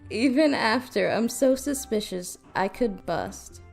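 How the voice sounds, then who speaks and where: A woman's voice: mid-range voice, lively intonation, a little slow, gravelly, faint music behind. A woman playing a sardonic, possessive newlywed wife in retro radio drama style, dry, sarcastic and commanding. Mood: stern, possessive. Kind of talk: retro 1940s radio comedy-thriller acting.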